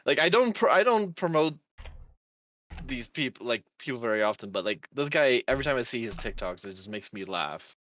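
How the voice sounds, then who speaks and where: A woman's voice: a bass voice, big pitch swings, brisk, a bit bright, a little hiss or hum. A woman chatting casually on a stream, giggling often and restarting her sentences, on a dull lo-fi mic. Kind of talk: casual chat.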